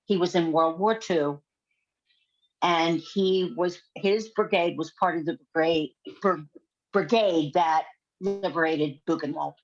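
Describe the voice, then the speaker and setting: An older woman joins a video call as an audience member, talking slowly with feeling and circling back to the same phrases. Her voice sits on the low side and comes through dull and muffled. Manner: emotional, repeats herself.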